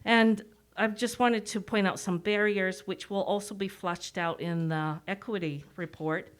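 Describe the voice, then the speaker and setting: A woman's voice: medium pitch, steady tone, measured pace. A middle-aged woman with a Canadian accent giving an informal, self-deprecating public presentation, speaking through a mask. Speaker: executive director giving a presentation. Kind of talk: public presentation, partly read from notes.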